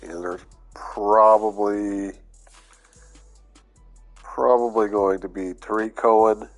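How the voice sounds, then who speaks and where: Gaming channel host, male, low-ish voice, stuffy and under the weather, relaxed think-aloud commentary with faint music underneath. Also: takes it slowly. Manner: laid-back, thinking aloud with fillers. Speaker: gaming YouTuber. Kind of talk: casual gameplay commentary.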